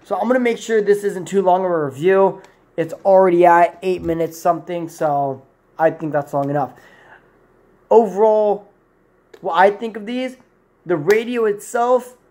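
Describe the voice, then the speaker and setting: A man's voice: high voice, darkish tone. A man in his twenties giving a rambling, informal product review to camera in a room at home. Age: 20s. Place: small indoor room at home.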